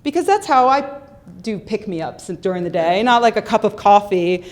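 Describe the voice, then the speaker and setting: A woman's voice: medium pitch, lively intonation, moderate pace. A young adult woman giving a humorous, sarcastic talk into a microphone on a lecture hall stage, with plenty of ums and repeated words. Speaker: public speaker. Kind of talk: humorous skeptical conference talk. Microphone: handheld or stand microphone.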